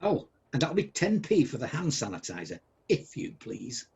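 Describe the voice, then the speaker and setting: A man plays a miserly, bad-tempered old businessman in a comic radio drama. The voice is husky and swings widely in pitch, full of blustering sarcasm and scorn. The recording is slightly dull. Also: big pitch swings, moderate pace, darkish tone, heavily acted. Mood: sarcastic.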